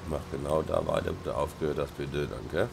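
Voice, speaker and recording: A man with a Rhine-Hessian German accent, hoarse from a sore throat and blocked nose, casually commenting on his farming game and mumbling with many ums. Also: very deep voice, fairly quick, noisy background. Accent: Rhine-Hessian German dialect. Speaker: let's play host.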